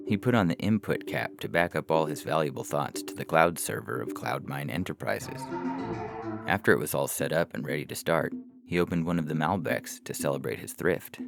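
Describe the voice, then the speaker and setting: A man's voice: very deep voice, vocal fry. A man reading science fiction stories aloud as scripted narration for a podcast.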